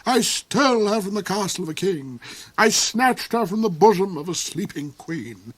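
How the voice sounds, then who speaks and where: A cold, ranting duke. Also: male voice, very animated, normal speed, slightly rough, full character voice. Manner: shrill, theatrical outbursts.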